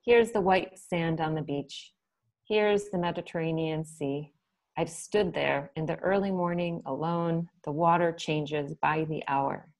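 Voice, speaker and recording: A woman poet reading her own poems on a video call in a soft, measured way, with short spoken asides between them. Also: low voice, fairly even intonation.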